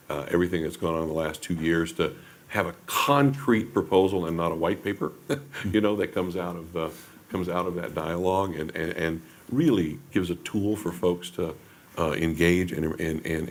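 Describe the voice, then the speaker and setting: A man speaking on a discussion panel, halting, with repeated stammering restarts. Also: deep voice.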